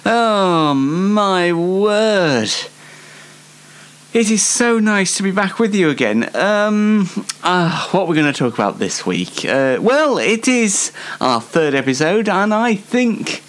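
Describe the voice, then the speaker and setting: Male host, aged twenty, unhurried and very animated, playfully theatrical, self-deprecating comedic chat. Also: high-pitched. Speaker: host of a YouTube show. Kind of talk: chatty comedic monologue.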